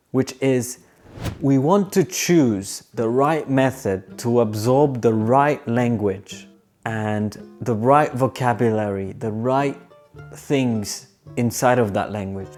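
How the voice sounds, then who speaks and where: A man's voice: medium pitch, darkish tone. A young man, a non-native English speaker, giving a scripted video lesson as an aviation English tutor. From moved to Australia as a teenager. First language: not English. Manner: slow, deliberate explaining. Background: faint guitar music.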